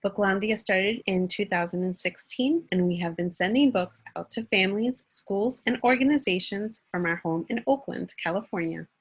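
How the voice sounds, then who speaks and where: Husky woman reading an introduction. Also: medium pitch, normal speed, hardly any pauses. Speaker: bookstore owner. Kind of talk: scripted introduction.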